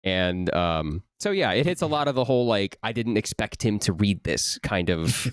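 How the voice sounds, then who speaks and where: Young male guest, lowish voice, lively, dry recording. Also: normal speed, clear, resonant tone, no room sound. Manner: animated, many restarts, rising for emphasis. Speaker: voice actor guest. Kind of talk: casual interview chat.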